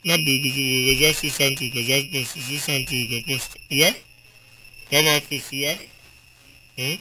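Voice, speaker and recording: A man's voice: mid-range voice, heavy background noise. A man with a Nigerian Pidgin accent, hosting a casual call-in radio show, talking in a chatty way and tagging his points with a questioning "eh?". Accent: Nigerian Pidgin English. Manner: chatty, rhetorical "eh?" after each point.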